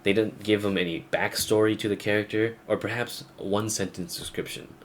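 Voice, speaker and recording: Young man, low-pitched, blunt casual rant, rambling and sweary, faint background noise. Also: fairly even intonation, moderate pace. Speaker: gaming video creator.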